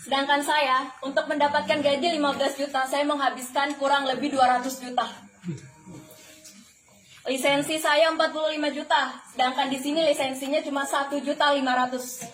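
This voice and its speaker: Young woman, twenties, high voice, emphatic motivational speaker, testimonial style, noisy room. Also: fairly bright tone, roomy sound. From the Philippines, Malaysia and Indonesia. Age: 20s. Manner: emphatic, with rhetorical questions and repetition. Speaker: flight attendant giving a testimonial.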